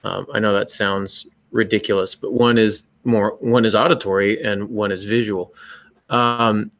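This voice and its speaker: A man's voice: on the low side, flat delivery, unhurried, a bit bright, no echo. A man co-hosting a podcast, giving casual writing advice in a joking, self-mocking manner. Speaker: podcast co-host and novelist.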